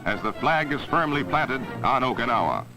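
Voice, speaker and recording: A man's voice: mid-range voice, sing-song, moderate pace, loud music behind the voice, low-quality recording. An American man delivering grave, declamatory 1940s war newsreel narration on an old film soundtrack.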